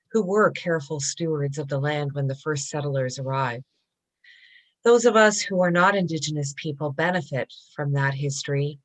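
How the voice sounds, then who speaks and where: A woman's voice: sits low, low-quality recording. A woman reading a formal statement as co-leader of a worship service. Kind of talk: formal read land acknowledgment.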